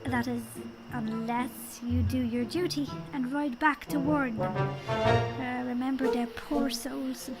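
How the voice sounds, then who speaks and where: High-voiced wily leprechaun king, acted by a woman. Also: steady tone, slow, low-quality recording. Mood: sly, teasing. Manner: folksy, sly, teasing storytelling. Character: small wily leprechaun king, jovial and proud. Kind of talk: audio drama acting. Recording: music behind the voice. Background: music.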